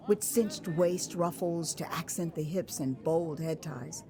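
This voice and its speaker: An American woman narrating her own story as a dramatized audiobook, expressive and theatrical, with conversational asides. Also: mid-range voice. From United States. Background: music.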